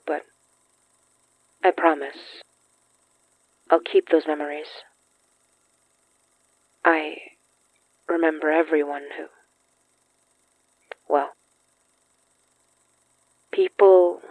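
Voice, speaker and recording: Muffled, slow-spoken earnest woman, played by an actress. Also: low voice, dry recording. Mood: tender, solemn. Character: earnest, compassionate woman consoling a guilt-ridden man. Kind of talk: audio drama acting.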